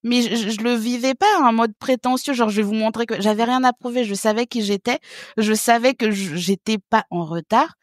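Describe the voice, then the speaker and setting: Woman in her thirties, higher voice, very dry close sound, lively storytelling guest who laughs often. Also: moderate pace, dry recording. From French Guiana. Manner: lively, laughing, acts out other people's remarks. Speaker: podcast guest. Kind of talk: casual interview storytelling.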